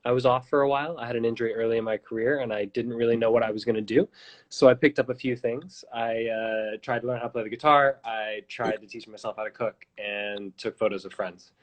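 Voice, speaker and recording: A man in his thirties from the United States, a filmmaker guest, answering interview questions in a relaxed, matter-of-fact way with ums, heard over a phone on a video call. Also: medium pitch, fast talker, lo-fi sound.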